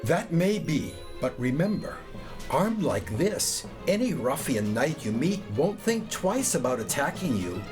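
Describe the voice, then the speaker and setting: A man plays a helpful squire from a radio play, friendly and sensible. He gives advice kindly in a very lively, varied voice, with music underneath. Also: a little high, a little slow. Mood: concerned, friendly. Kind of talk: audio drama acting.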